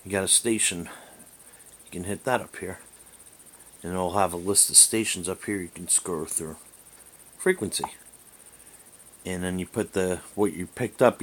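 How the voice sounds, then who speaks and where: A man giving a casual hands-on demonstration as a hobbyist, rambling and hesitant as he thinks aloud into a camera mic. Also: low-pitched. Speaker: amateur reviewer and radio hobbyist. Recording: hissy amateur camera recording.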